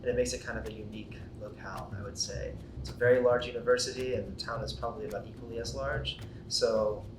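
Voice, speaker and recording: A man from Indiana, speaking in a deliberate, analytical way. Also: steady tone. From Indiana, USA. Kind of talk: conference interview answers. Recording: echoey room, distant mic, rumbling noise.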